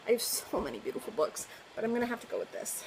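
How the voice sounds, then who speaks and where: A woman's voice: mid-range voice, big pitch swings, quick pace. Woman book vlogger, effusive, chatty, faint room noise behind her. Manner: gushing, effusive, laughs often. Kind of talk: casual chat to camera.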